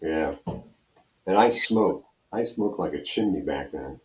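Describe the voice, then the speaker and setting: A man's voice: a bass voice, lively intonation, measured pace. An older American man reminiscing in a casual, rambling way, telling anecdotes as an interview guest. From United States, Pennsylvania. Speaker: radio program director and on-air personality, interview guest. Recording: roomy, echoing room sound.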